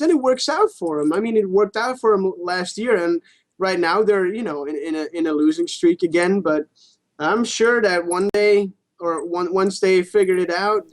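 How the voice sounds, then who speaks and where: A man co-hosting a podcast, chatting casually about sports with informal, sweary phrasing. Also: high voice. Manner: informal, sweary, hedging as he argues. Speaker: podcast co-host.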